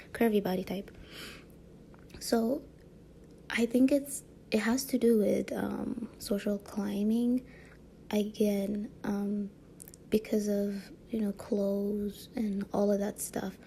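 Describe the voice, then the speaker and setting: A woman's voice: mid-range voice, creaky, slight background noise. A woman with a light French accent talking casually to her viewers, rambling with many fillers and "you know what I mean?" tag questions. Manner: frequent soft laughs. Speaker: vlogger. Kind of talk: casual monologue to camera.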